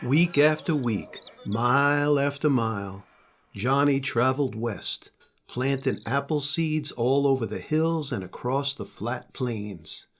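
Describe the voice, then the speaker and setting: A man's voice: medium pitch, slow pace, a bit bright, soft background music. An older man, a grandfather, narrating a children's story.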